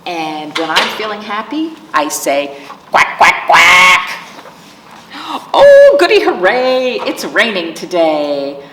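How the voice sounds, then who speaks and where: Cheerful storybook duckling, acted by a woman, singsong and very animated, slow pace, echoey room. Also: fairly low pitch. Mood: cheerful, playful. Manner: bouncy, singsong rhyming delivery. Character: cheerful little duckling who loves rainy weather and quacking. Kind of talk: children's picture-book read-aloud. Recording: echoey reverberant room, faint background noise.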